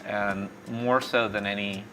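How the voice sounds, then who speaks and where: A man's voice: lowish voice, fairly even intonation, measured pace, over music, poor audio. A middle-aged man from East Texas telling stories reflectively in a conversational interview. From East Texas, born in Mexico. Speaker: tech company founder, interview guest.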